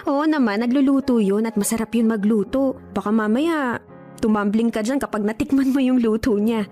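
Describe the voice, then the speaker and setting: Woman acting a high-voiced, pushy young best friend. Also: normal speed, lightly acted. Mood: teasing, coaxing. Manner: expressive, scolding and coaxing. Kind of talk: Tagalog radio drama acting. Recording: music behind the voice. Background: music.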